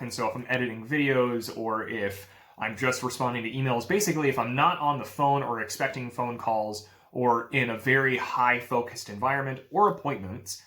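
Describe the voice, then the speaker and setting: A man's voice: medium pitch, a bit bright. Upbeat rambling young man vlogging. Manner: upbeat, rambling with many fillers. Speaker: real estate agent vlogger. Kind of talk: casual talking-head vlog.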